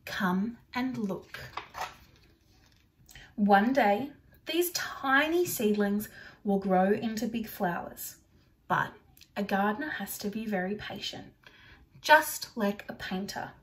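A woman voicing a gentle, patient old man, a painter in a storybook, in a kindly, measured way. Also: medium pitch, recorded from a distance.

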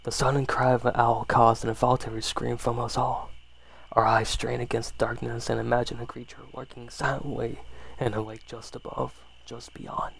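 Male ASMR horror narrator, soft and unhurried, noticeably creaky voice, fairly even pitch. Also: slight background noise. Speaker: storyteller. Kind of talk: ASMR bedtime horror story reading.

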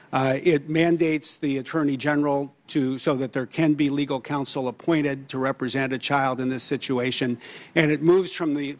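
A man in his fifties with a medium-pitched voice explains his answers earnestly, pausing for fillers. He testifies as a witness into a desk microphone in a large hearing room.

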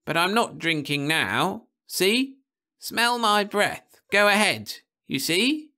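A man reading aloud, voicing a hasty, excitable old male ex-drunk with a high, fidgety voice who begs to prove himself. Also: slow pace, exaggerated voice. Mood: excited. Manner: hasty and pleading. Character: jittery old male ex-drunk computing whiz.

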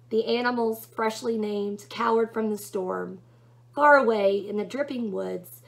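A woman's voice: mid-range voice, gravelly, rough recording. A young adult woman narrating a storybook as she reads it aloud in a small room at home. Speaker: book reader.

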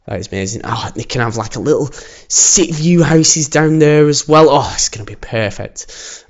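A man with a croaky voice, casually commenting as he builds a city in a game, alone at home. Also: mid-range voice, muffled recording. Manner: chatty, self-assured, talks while building. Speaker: gaming channel host. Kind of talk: casual let's-play commentary.

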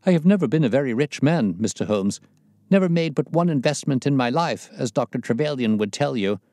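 A man's voice: medium pitch, expressive, brisk, dark tone, no room sound, studio quality, pitched above the performer's own voice, natural voice. A man voicing a very fat, frightened storybook character, anxious and evasive, talking in a nervous, pleading way. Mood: anxious, confiding. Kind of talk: audiobook character voice.

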